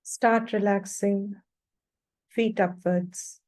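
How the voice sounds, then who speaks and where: A woman leading a guided meditation as a teacher, speaking calmly in short phrases with long pauses between them. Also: mid-range voice.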